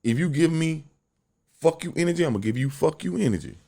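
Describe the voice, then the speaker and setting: A young man hosting a solo podcast, talking bluntly and profanely in a deep voice, telling a story with lots of animation. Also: animated, fast, creaky, mellow. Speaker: podcast host.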